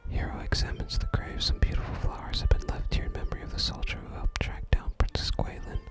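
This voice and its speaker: Whispering ASMR gamer. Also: fast talker. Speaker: ASMR gaming creator. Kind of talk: whispered ASMR game playthrough. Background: game music.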